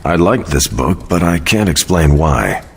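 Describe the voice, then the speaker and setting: An actor playing a calm, thoughtful hero in a video game, speaking slowly and reflectively. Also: male voice, extremely low pitch, heavily acted. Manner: slow, reflective. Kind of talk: video game cutscene acting. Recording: long reverb, faint ambient noise.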